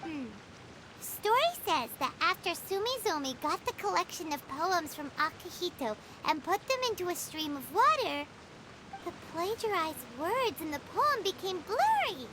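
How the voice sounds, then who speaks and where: A woman's voice: very high voice, big pitch swings, normal speed, pushed and bright, slight background noise, exaggerated voice. A woman voicing a tiny, eager, childlike fairy companion in a video game, full of exclamations. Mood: curious, puzzled. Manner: exclamatory, eager, speaks of self in third person.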